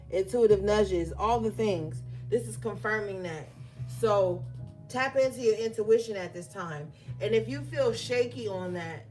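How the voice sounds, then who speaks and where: A woman's voice: mid-range voice, a little mellow. A woman giving a collective tarot reading, reading card meanings aloud and then talking them through casually with her viewers. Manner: reads guidebook text aloud, then riffs conversationally with fillers. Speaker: tarot reader.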